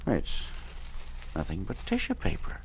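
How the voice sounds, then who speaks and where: An actor playing a nervous, smooth-talking business agent in an old radio drama, hesitating with small pauses. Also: male voice, low-pitched, huge pitch swings, a little hiss or hum, heavily acted. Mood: guarded. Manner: hesitant, slightly evasive, with frequent "uh" pauses. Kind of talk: 1940s radio drama acting.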